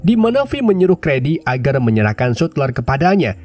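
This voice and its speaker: A man's voice: medium pitch, big pitch swings, fairly quick, a little mellow. A man narrating a film recap in a casual scripted style. His voice is stuffy and nasal from a cold.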